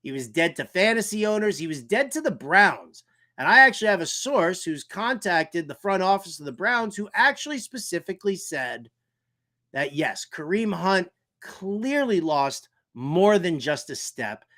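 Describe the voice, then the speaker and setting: A man with a fairly high-pitched voice hosts a casual sports talk show. He delivers comedic commentary with theatrical flair, often hammering a word or phrase twice for emphasis. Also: big pitch swings, moderate pace, sharp tone. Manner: joking, theatrical, emphatic repetition. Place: shed used as home studio.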